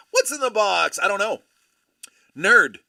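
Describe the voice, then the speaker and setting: A man's voice: high voice, sing-song, slow, creaky, pushed and bright, no room sound, studio quality. A man hosting a live card break stream, chatting casually and telling rambling, joking stories. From Buffalo area, USA.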